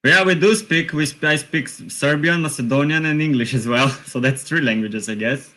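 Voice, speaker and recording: A man's voice: fairly high pitch, normal speed, sharp tone. A man who is a crew member guest on a casual live stream, talking easily and laughing often. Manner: easygoing, laughs often, lists things with "like".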